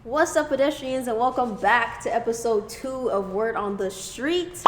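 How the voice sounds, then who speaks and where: A young woman hosts a casual podcast in an upbeat, affirming way, with her voice sitting a little high. The room adds a clear echo over a faint hiss. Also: moderate pace. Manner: upbeat, affirming, leads the questions. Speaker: co-host. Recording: roomy echo with faint noise.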